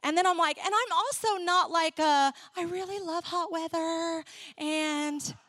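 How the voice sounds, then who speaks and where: A young adult woman who grew up in Ecuador, preaching and telling her own story in a candid, animated way. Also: very high voice, unhurried, no room sound, clean studio sound. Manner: animated, candid storytelling with laughs and acted asides. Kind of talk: sermon with personal story.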